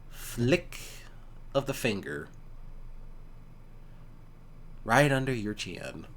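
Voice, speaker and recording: A man's voice: mid-range voice, a bit bright. A man playing an easygoing, affectionate country boyfriend in an ASMR roleplay, chatting casually and teasingly with his sweetheart. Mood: wistful, intimate. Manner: casual, teasing, folksy, with pauses. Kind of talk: ASMR boyfriend roleplay, one-sided conversation.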